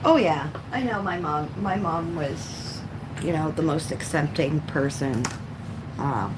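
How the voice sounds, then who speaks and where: Low-voiced, unhurried woman chatting casually. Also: noisy background. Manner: halting, reminiscing, frequent throat clearing. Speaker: livestreamer. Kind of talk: casual rambling chat while coloring.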